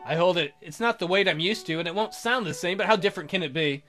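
A man's voice: high-pitched, quick pace, fairly bright tone. Casual man reading over guitar music. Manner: informal, self-interrupting. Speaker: let's play host. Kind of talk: reading visual novel narration with casual commentary.

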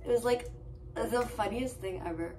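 A young woman with a hoarse voice from being sick, chatting to her phone camera in a hotel room in a bubbly, gushing way. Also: fairly high pitch, normal speed, mellow, slight background noise. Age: young adult. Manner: bubbly, gushing, talks to viewers as guys. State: hoarse, lost voice from illness. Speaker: travel vlogger. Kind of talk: casual vlog chat to camera. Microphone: handheld phone camera at arm's length.

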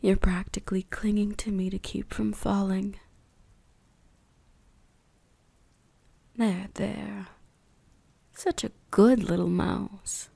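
Woman acting a slow, breathy ancient vampire huntress. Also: medium pitch, little pitch movement, warm tone, full character voice. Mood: teasing, seductive. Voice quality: breathy, whispery. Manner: slow, teasing, condescending. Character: ancient, predatory, seductive female vampire huntress. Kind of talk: ASMR roleplay, intimate scripted monologue.

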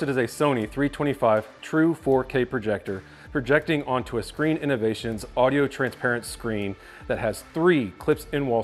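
A man in his thirties giving an upbeat walkthrough of his home basement, talking to the camera with a clip-on mic. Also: mid-range voice. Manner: upbeat, gestures with his hands while walking and talking to camera. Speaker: tech reviewer giving a home tour. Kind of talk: casual home tour walkthrough. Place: home basement rooms, indoors. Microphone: clip-on lapel mic.

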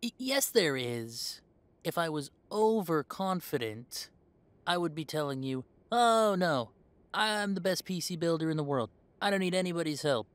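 A man's voice: a little high, clean tone, no room sound, lightly acted. Man playing an easygoing, chatty young male werewolf, dry sound. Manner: chatty, hesitant, conversational. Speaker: voice actor. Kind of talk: roleplay audio drama, casual one-sided conversation.

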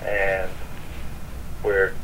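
Low-pitched halting man, distant and noisy. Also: completely flat delivery, pushed and bright. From Boston, United States. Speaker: company representative announcing an award. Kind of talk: informal phone call. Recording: distant, noisy phone-call audio.

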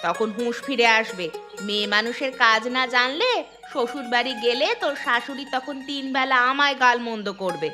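A woman's voice: on the high side, normal speed, music in the background, natural voice. A woman voicing a careworn poor village mother with an earthy, nagging manner in a fairy-tale cartoon. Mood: scolding. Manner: nagging, earthy, sharp-tongued.

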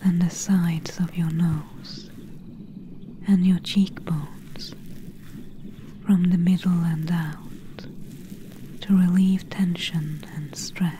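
A woman playing a gentle, soothing swamp witch in a soft-spoken, whispery ASMR roleplay. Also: on the low side, fairly even intonation, slight background noise. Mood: soothing, tender. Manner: slow, hushed, whispery and soothing.

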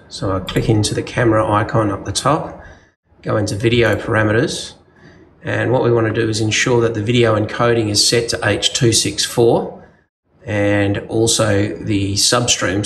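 A man's voice: on the low side, fairly bright tone, a little hiss or hum. A man with an Australian accent giving casual, practical step-by-step instructions as an installer showing how something is set up. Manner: plain, practical, informal. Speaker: security installer giving a tutorial. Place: indoors at a wall-mounted monitor.

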